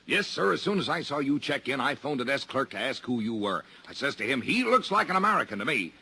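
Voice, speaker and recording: A man's voice: mid-range voice, sing-song, fast, bright, forceful tone, husky, exaggerated voice. An actor playing a lonely, overfriendly traveling salesman, folksy and pushy, in a 1950s radio drama. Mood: chummy.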